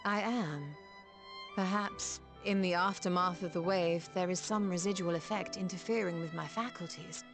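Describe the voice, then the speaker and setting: An actress playing a formal, precise ally in a game cutscene. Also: female voice, lowish voice, moderate pace, over music, lightly acted. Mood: puzzled.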